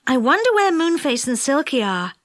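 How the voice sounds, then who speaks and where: A woman's voice: sits high, sing-song, normal speed, no room sound, studio quality. A woman voicing a cheerful, admiring young girl in a children's storybook, wide-eyed and enthusiastic. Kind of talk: children's storybook character reading.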